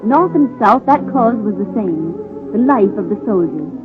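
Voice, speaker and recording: A woman's voice: medium pitch, animated, normal speed. Casting: female narrator, mid-range voice, solemn scripted old-time radio delivery over music, thin tinny sound. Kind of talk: dramatic scripted radio narration, 1930s-40s.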